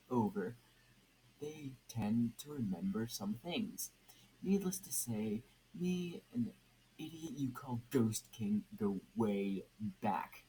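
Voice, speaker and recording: Young male amateur reader, slow and halting, soft dark tone, noisy home recording. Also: mid-range voice. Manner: halting, stumbles over words and repeats them. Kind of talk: reading a story aloud.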